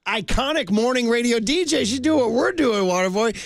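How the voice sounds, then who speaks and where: A man hosting a call-in sports radio show, energetic and joking with callers from a studio microphone. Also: very high-pitched, dry recording. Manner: energetic, quick, jokey.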